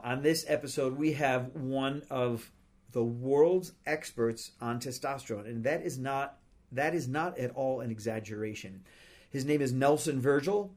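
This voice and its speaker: A man's voice: mid-range voice, a little mellow. A man hosting a podcast interview, speaking emphatically and giving listeners direct advice. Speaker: podcast host.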